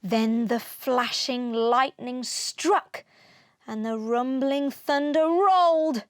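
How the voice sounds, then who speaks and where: A woman narrates a children's storybook in rhyming verse. Her voice is high and her delivery is slow, expressive and rhythmic. The recording is close and dry. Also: smooth, no echo. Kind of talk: expressive children's read-aloud.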